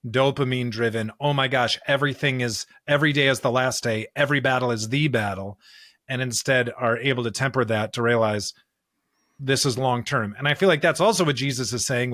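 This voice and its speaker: A man's voice: medium pitch, fairly bright tone, dry recording, studio quality. A man co-hosting a podcast, talking through scripture in an earnest, emphatic, conversational way. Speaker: podcast co-host.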